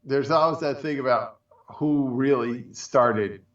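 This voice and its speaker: A man co-hosting a talk show in casual chat, speaking in a halting, rambling way. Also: medium pitch, a bit bright. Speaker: show co-host. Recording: roomy, distant, dull microphone.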